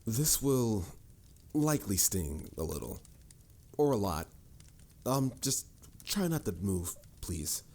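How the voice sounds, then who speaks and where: A man's voice: on the low side, very animated, takes it slowly, pauses often, a little mellow, exaggerated voice. A man playing a stern, formal mage mentor with a dry, lecturing manner in a scripted fantasy audio roleplay. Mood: instructive. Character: stern, dryly exasperated adult male mage mentor.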